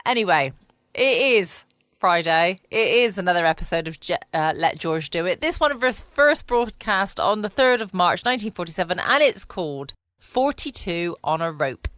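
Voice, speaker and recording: A woman's voice: lowish voice, lively intonation, normal speed, a bit bright, no echo, studio quality. An adult woman with a southern English accent, chatting casually and playfully as host of a bedtime podcast recorded at home. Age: adult past her twenties. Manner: chatty, playful, self-mocking. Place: quiet room at home.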